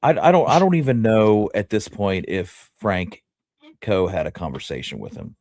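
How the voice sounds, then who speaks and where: A man's voice: lowish voice, expressive, moderate pace, darkish tone, no echo, clean studio sound. A man co-hosting a history talk show, telling anecdotes in an informal, rambling way. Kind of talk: casual chat with anecdotes.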